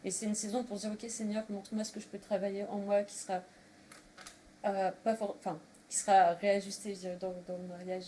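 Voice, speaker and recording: Mellow-voiced woman in her thirties. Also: mid-range voice, little pitch movement, fast. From northern France. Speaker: guest. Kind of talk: casual personal discussion. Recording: faintly noisy room recording.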